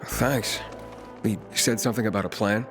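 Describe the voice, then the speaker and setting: An actor playing a wary, driven young outsider hero in a video game, with terse, guarded delivery. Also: male voice, fairly low pitch, moderate pace, close to an everyday voice. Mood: curious. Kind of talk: video game dialogue acting. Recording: music behind the voice.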